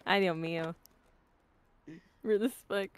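A woman's voice: mid-range voice, takes it slowly, smooth, dry recording. A woman whose first language is Spanish, chatting casually and calling out plays while streaming a team shooter game over voice chat. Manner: excited callouts, slips into Spanish. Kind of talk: casual gaming chat with friends.

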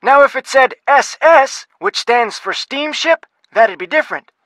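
Cranky folksy shipwreck researcher, bright sharp tone, dry sound. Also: male voice, extremely high pitch, expressive, unhurried, dry recording, heavily acted. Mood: gruff. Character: gruff folksy older male shipwreck researcher. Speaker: phone contact in a game.

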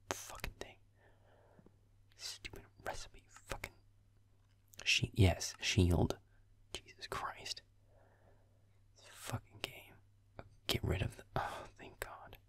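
A man playing a grumpy, sarcastic werewolf boyfriend in a gaming roleplay audio, muttering irritably with swearing in a low, breathy, gravelly voice. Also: takes it slowly, pauses often, a bit bright, no room sound, whispered. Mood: frustrated.

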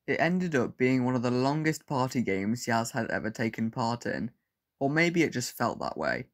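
A man with a medium-pitched voice reads scripted fiction aloud. He is the narrator of an audio story. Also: very animated, moderate pace.

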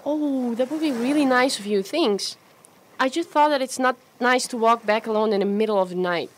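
A woman's voice: a little high, moderate pace, slight background noise, close to an everyday voice. A woman playing a friendly, chatty, self-doubting young woman in an amateur radio play, with a hesitant, natural delivery. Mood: surprised, friendly.

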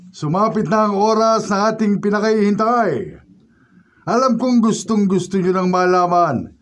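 A man playing a showy TV contest host in a Filipino radio drama, with grand announcer delivery. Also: sits high. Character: showy, suspense-building male TV contest host. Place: radio drama recording studio.

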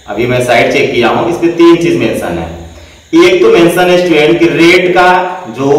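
A young man with a mid-pitched voice explains a website in the way of a tutorial, repeating his stock filler phrases and speaking to the viewers as students. He sits away from the mic, and the small room gives his voice a strong echo. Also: distant mic. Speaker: education channel host. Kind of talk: screen-recorded tutorial.

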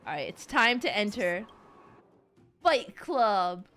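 A woman's voice: medium pitch, expressive, slow pace, pushed and bright, soft background music. A young woman giving casual, playful, reactive commentary as she streams a video game.

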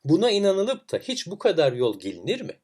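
A man voicing mocking townspeople in a folk tale, jeering and falsely flattering with exaggerated delivery. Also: on the low side, fast talker, natural voice. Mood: scornful.

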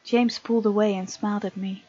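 A woman hosting a let's play, reading game text aloud with playful, expressive asides. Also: mid-range voice, a little fast, highs cut off, faint music behind. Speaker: gaming video host.

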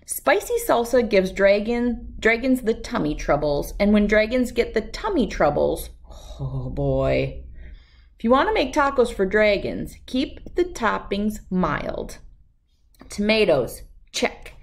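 A woman in her thirties reading a children's storybook aloud with expressive, emphatic delivery and dramatic pauses. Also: medium pitch, creaky. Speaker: read-aloud host.

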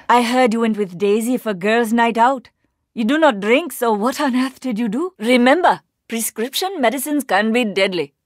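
A woman's voice: mid-range voice, a little mellow, dry recording, studio quality, natural voice. An actress playing a proud, poised Indian woman agent in a video game, with an Indian accent and formal, precise, dryly sarcastic phrasing. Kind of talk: video game character voice lines.

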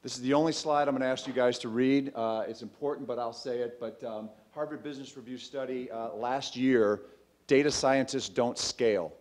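A man around forty giving an informal startup pitch into a stage microphone, conversational and emphatic, speaking directly to his audience. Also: fairly even intonation, moderate pace. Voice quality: loud voice. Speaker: startup CEO and co-founder presenting. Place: auditorium stage. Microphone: microphone on a lectern stand.

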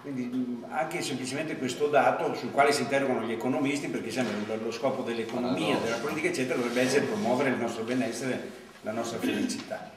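A man in his fifties giving a reflective talk at a project presentation, pausing often with hesitant fillers. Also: mid-range voice, steady tone, a crowd in the background. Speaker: supervisor speaking at a project presentation. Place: large classroom with rows of chairs. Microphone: far camera. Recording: echoey room from far off, background noise.

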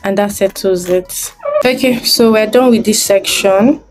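A woman's voice: medium pitch, a little slow, soft background music. A woman giving a screen-recorded design tutorial, thinking aloud step by step as she works.

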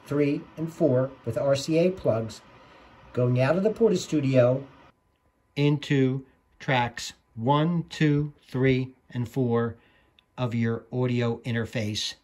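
A man's voice: mid-range voice, slow pace, darkish tone. A man narrating an instructional tutorial as its host, explaining things step by step in a plainspoken way.

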